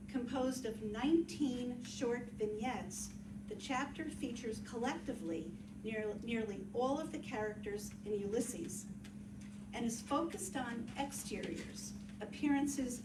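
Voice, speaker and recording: A woman with a fairly high voice presents a scripted introduction in an explanatory style. She is heard at some distance in an echoey room with clear background noise.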